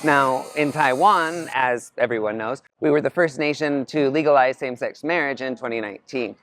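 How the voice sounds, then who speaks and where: A man narrating a documentary voice-over in a measured, explanatory way. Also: medium pitch, a bit bright.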